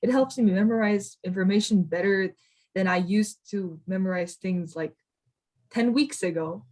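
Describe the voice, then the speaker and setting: A woman's voice: medium pitch, moderate pace, a little mellow. A young woman, a first-year university student, answering a conference question earnestly and fairly fluently, with a few stammered restarts. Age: young adult. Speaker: student panelist.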